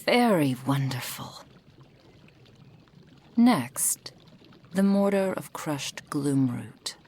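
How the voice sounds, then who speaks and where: A woman as a possessive kitsune witch, very slow. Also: sits low, sing-song, a little mellow, exaggerated voice. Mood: soft, coaxing. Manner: breathy whispering, formal archaic phrasing. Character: possessive kitsune witch mentor, doting then scolding. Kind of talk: roleplay ASMR acting.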